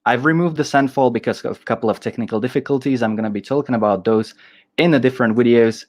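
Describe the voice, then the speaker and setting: A man's voice: mid-range voice, fast, darkish tone. A man hosting a casual livestream, enthusiastic and restarting his sentences often. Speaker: host.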